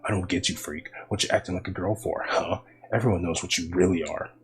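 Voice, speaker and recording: A man voicing a cruel boy bully who shouts and taunts. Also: low-pitched, steady tone, fast talker, natural voice. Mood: sneering.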